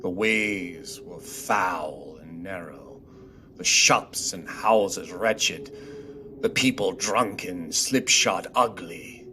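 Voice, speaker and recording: A man playing the solemn narrator of a ghost tale in a radio play, grave and deliberate. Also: sits low, pushed and bright, slight background noise, heavily acted. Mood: grave, dramatic. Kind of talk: dramatic scripted narration.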